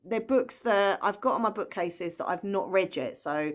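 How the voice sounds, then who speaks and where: A woman's voice: mid-range voice, moderate pace. A woman, a book vlogger talking in a casual, rambling and enthusiastic way. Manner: rambling, enthusiastic, full of fillers and small laughs. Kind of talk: casual chatty book talk.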